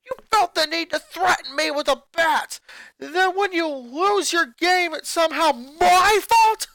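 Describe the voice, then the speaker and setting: A man's voice: very high-pitched, a little slow, no room sound, heavily acted. A man voicing an angry eleven-year-old boy who rants and accuses his sisters in a fanfic reading. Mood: furious. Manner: ranting, accusing, voice rising to shouts.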